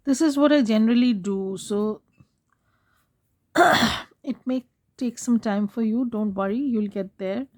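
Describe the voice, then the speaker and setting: A woman hosting a craft tutorial, talking casually while she works, her voice hoarse and fading, and she keeps clearing her throat. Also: fairly high pitch. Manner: soft, unhurried, frequent throat clearing. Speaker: craft channel host.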